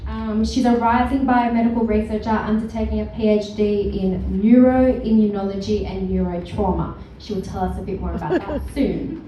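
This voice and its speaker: A woman's voice: mid-range voice, steady tone, normal speed, few pauses. A woman moderating a live panel discussion, introducing the guests and asking them questions. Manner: warm introductions, checks pronunciation and sound. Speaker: event host and panel moderator. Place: large event hall. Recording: echoey hall from far off, faint noise.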